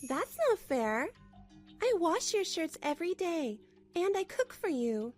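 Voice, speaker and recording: A woman acting a put-upon housewife in a manga dub, with a high voice. She narrates her troubles in a plaintive, weary way and speaks up apologetically. Faint music plays underneath. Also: sing-song, unhurried, heavily acted. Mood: meek protest. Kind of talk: first-person scripted story narration, manga dub.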